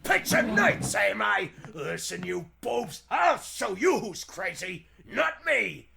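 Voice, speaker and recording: A man's voice: high voice, very animated, slow pace, gravelly, bright, forceful tone, raised voice, exaggerated voice. Man doing a swaggering, bullying gang boss. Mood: indignant boasting. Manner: domineering, swaggering, tough-talking. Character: bullying, boastful male gangster boss. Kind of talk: 1940s radio acting.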